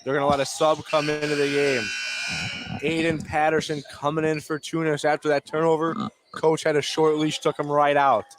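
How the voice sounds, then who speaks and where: A man's voice: on the high side, little pitch movement, normal speed. Male basketball play-by-play man in noisy gym. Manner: clipped, fragmentary calls of the action. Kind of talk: live sports radio play-by-play commentary. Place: indoor high school gym, courtside.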